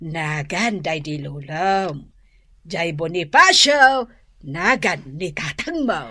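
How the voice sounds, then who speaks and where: A woman's voice: fairly low pitch, lively intonation, takes it slowly, pushed and bright, breathy edge, no echo, exaggerated voice. A woman playing a doting, fussy grandmother in Ilocano radio drama, exclamatory and melodramatic, with an Ilocano accent. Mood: bossy, fussy. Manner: gushing, exclamatory, sing-song wailing.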